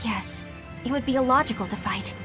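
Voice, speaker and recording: A woman's voice: a little high, brisk, music in the background, close to an everyday voice. An actress playing a prim, principled young woman warrior in a video game, formal and easily exasperated. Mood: troubled, reflective. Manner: proper, formal, indignant. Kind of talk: English video game voice acting.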